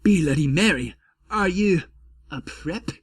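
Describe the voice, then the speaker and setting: Comic story reading: sulky goth teenage girl played by a man, overwrought and slangy, pitched up, dry sound. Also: lively intonation, slow pace, husky, no room sound, full character voice. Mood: alarmed, indignant. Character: melodramatic, sulky gothic teenage girl narrator.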